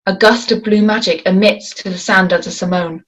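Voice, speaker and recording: A woman's voice: mid-range voice, fairly even intonation, moderate pace, fairly bright tone, low-quality recording. A woman reading stage directions as scripted narration for a screenplay.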